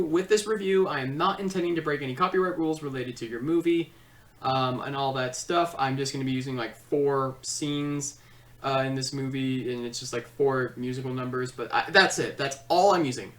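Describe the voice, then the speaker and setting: A man hosting a comedic video review, switching between theatrical announcing and halting casual asides. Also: fairly high pitch, a bit bright, distant mic. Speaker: online film reviewer and host.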